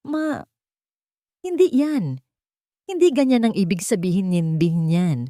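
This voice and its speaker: A woman's voice: mid-range voice, expressive, a little slow, mellow, dry recording, studio quality, close to an everyday voice. A woman voicing a defensive, desperate adult daughter in an audio drama story, pleading and making excuses. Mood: stammering, afraid. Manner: stammering excuses, emotionally pleading.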